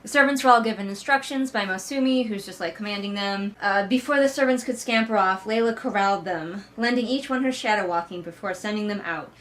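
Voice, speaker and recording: A woman hosts a casual read-along in a medium-pitched voice. She sounds sarcastic and exasperated as she picks the story apart. Her delivery is comic and conversational, with casual swearing. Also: brisk, few pauses, fairly bright tone. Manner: acts out mocking character quotes. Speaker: host of a book read-along. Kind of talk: casual comic recap and critique.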